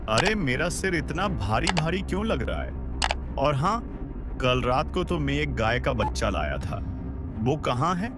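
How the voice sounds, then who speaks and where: A man's voice: medium pitch, big pitch swings, unhurried. A man voicing a carefree, stubborn village drunkard in a cartoon story, full of exclamations. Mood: confused, scared. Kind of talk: Hindi cartoon moral story acting. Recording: loud music under the voice.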